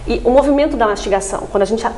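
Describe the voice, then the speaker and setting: Female expert guest for TV interview, higher-pitched, explains step by step, checks in often. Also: very fast, warm tone. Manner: didactic, frequent tag questions seeking agreement. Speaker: voice therapist guest.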